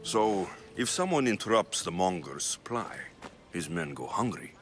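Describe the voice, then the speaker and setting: An actor playing a guarded, sardonic but protective Greek mercenary in a video game cutscene, talking in a dry, teasing way. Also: male voice, low-pitched, big pitch swings, normal speed, slight background noise. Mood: businesslike. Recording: music behind the dialogue.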